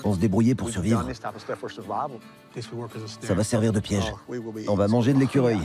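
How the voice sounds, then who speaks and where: A man's voice: fairly low pitch, moderate pace. A man from eastern Kentucky, a reality show contestant, speaking in an earnest, plain way in a dubbed confessional. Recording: dubbed voice under loud music.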